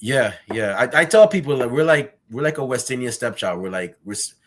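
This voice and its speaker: A man from Guyana hosting a casual interview, telling stories in an animated way and often saying "man". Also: medium pitch, fairly quick, slight background noise. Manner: animated storytelling, lots of "man" and restarts. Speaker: podcast host.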